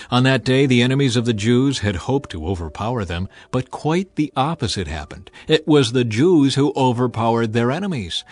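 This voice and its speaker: A man narrating scripture as an audio drama, with dramatic, emphatic delivery. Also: mid-range voice.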